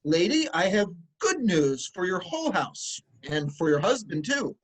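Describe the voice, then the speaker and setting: An actor playing a humble, cheery old messenger from Corinth in a formal, expressive reading of a Greek tragedy. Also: male voice, high-pitched, a little slow, full character voice, rough recording. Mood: eager, courteous.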